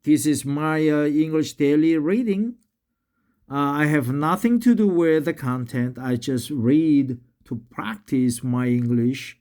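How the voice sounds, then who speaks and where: A man with a Korean accent talks and reads aloud in a slow, halting way, full of fillers. He is slightly tipsy, practicing English on his own vlog. His pace is unhurried throughout. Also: fairly high pitch, warm tone, clear, resonant tone. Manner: halting, many drawn-out fillers. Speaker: English learner vlogging. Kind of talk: casual talk and reading aloud.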